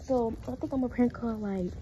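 Young female vlogger, American, dark soft-edged tone, casual slangy delivery, handheld phone sound with slight room noise. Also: a little high. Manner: informal, slangy, halting, drops to hushed asides. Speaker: vlogger making prank calls. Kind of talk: casual chat to camera. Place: small indoor room. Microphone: phone held at arm's length.

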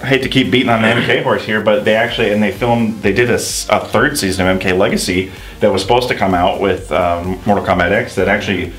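A man in his thirties co-hosting a gaming show, chatting casually with slangy, animated opinions. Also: on the low side, fast talker. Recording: roomy echo, music underneath. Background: music.

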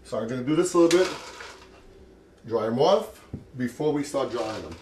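An older man talks his way through a recipe in a home kitchen, easygoing and encouraging as he works with his hands. His voice sits at an ordinary middle pitch. A faint hiss and the clink of pots and utensils sit behind him. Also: expressive, normal speed, a little mellow. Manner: chatty, encouraging, talks while working. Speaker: cooking show host. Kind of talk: casual cooking demonstration.

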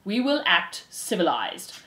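An old, pompous male mouse in a storybook presides like a judge , acted out by a woman. The delivery is slow, commanding and formal, with strong swings of pitch in a roomy recording. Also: big pitch swings, a bit bright. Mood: stern, solemn.

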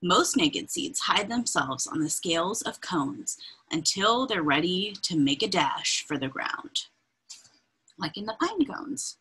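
Slow, breathy woman reading to children. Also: on the low side, low-quality recording. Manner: expressive, deliberate, pointing things out as if to children. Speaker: read-aloud reader. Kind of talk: children's picture book read-aloud with informal asides. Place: indoors, small room with bookshelves.

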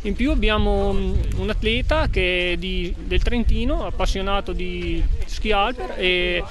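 A man in his thirties interviewed outdoors on a night ski slope, talking about his club in a halting way. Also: sits high, low-quality recording. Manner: halting, many restarts. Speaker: club athlete.

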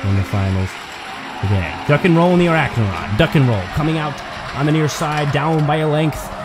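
High-voiced man calling a toy car race. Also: fairly quick, over music, crowd noise. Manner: excited, rising sharply at close finishes. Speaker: race commentator. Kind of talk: play-by-play race commentary.

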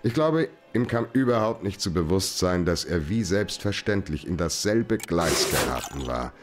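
An actor playing a cool, sardonic hard-boiled private detective in a German radio crime drama, laconic in manner. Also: male voice, low-pitched, big pitch swings, normal speed, faint music behind. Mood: reflective.

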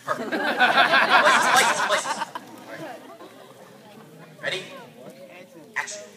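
A man's voice: very high-pitched, extremely slow, a loud crowd, exaggerated voice. A man playing a bossy, exasperated director in a comedy sketch, stammering and repeating his orders impatiently. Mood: excited, bossy. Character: bossy, excitable, exasperated male director running rehearsals. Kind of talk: improv-style sketch acting. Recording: echoey noisy room, distant mic, audience.

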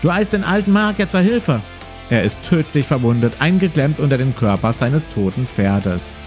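A man's voice: mid-range voice, expressive, moderate pace, a little mellow. A man in his forties hosting a let's play, reading a gamebook aloud and commenting casually. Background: plucked guitar music.

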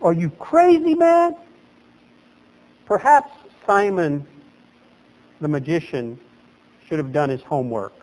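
Middle-aged pastor preaching in a small chapel, kinda high voice, gets real animated, jumps up loud for emphasis. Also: male voice, slow pace, pauses often, poor audio. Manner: animated preaching, gesturing at a lectern, voice leaping up for emphasis. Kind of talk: sermon.

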